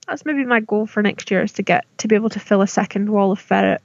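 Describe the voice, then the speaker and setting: A woman's voice: medium pitch, quick pace, creaky, dry recording, muffled recording. A woman in her thirties, a guest on a podcast, chatting enthusiastically and giggling. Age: 30s. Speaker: guest, hand dyer. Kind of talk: casual chat.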